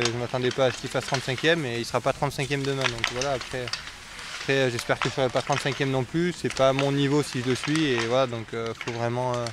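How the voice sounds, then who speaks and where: A young man athlete talking in a post-race interview outdoors at a snowy venue, measured and pausing often to find his words. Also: mid-range voice. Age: young adult. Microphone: camera mic close to the face. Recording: outdoor noise in the background.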